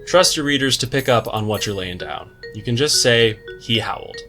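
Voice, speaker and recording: A man's voice: mid-range voice, animated, normal speed, bright, forceful tone, faint music behind. A man giving a scripted book review commentary in a wry, tongue-in-cheek, slangy way. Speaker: book reviewer, video host. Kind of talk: scripted video essay commentary with slangy asides.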